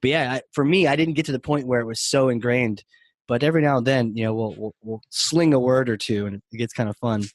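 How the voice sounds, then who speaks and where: A man from New Hampshire talks in a loose, chatty way, often stopping to laugh and restart his phrases. He speaks at an ordinary pitch. The sound is dry and close, with no room behind it. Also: fast, no room sound. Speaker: podcast co-host. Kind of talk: casual chat.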